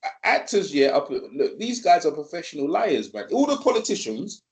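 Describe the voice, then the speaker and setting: A man hosting a casual live stream, talking in a rambling, repetitive and animated way. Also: a little high, moderate pace. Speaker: host.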